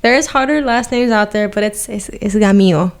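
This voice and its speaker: A young woman from Milwaukee talks as a podcast guest. She rambles loosely through her answers and leans on fillers. Her voice sits at an ordinary middle pitch. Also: a little fast, clean tone. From Milwaukee, Wisconsin. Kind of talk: casual conversation.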